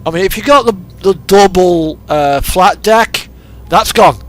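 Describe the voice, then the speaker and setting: A man's voice: high voice, measured pace, a bit bright. A man giving casual, rambling gameplay commentary, hesitant and thinking aloud as he goes. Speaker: host of a truck simulator gaming video. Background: music from an in-game radio.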